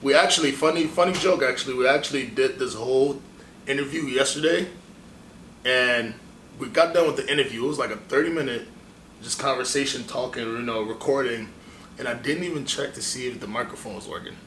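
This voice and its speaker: A young man from Ghana hosting a relaxed, chatty interview with plenty of fillers. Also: mid-range voice, brisk. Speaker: host. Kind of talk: casual interview. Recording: echoey room, distant mic, faint hiss.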